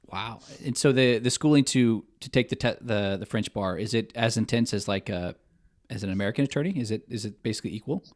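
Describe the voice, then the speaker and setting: A man's voice: lowish voice, a little fast, no echo. Co-host: a man with an eager, stop-start delivery, recorded in a dry, close sound. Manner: eager, with many fillers and restarts. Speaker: podcast co-host. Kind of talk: podcast chat.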